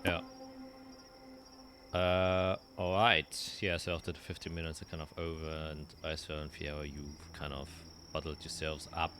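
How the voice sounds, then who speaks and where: A man narrating a tabletop roleplaying game as game master, explaining in a halting way and often rephrasing. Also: a bass voice, flat delivery, a bit bright, slight background noise.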